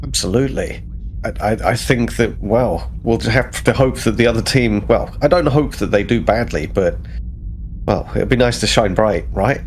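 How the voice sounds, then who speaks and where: A man chatting casually in a tabletop role-playing game, halting and correcting himself. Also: fairly low pitch, a little hiss or hum.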